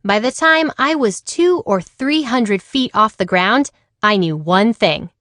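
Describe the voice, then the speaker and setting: A woman reading a children's picture book aloud in an expressive way, acting out the dialogue. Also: on the high side, unhurried, no echo, studio quality. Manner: animated, acts out dialogue. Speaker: read-aloud storyteller.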